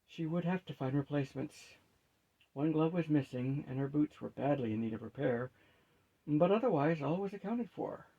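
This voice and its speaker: Gravelly, husky man reading a fantasy tale. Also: fairly high pitch, animated, moderate pace, lo-fi sound. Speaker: storyteller reading a fantasy novel aloud. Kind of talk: scripted narration.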